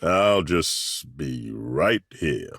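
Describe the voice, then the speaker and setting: An actor playing a burly, good-humoured ageing masseur turned adventurer in a video game, with a husky voice and a folksy, self-deprecating, joking way of talking. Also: male voice, very deep voice, big pitch swings, a bit bright, no room sound, studio quality, exaggerated voice. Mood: easygoing, inviting. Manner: folksy, joking, chuckling, drawn-out hesitations. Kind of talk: video game character dialogue.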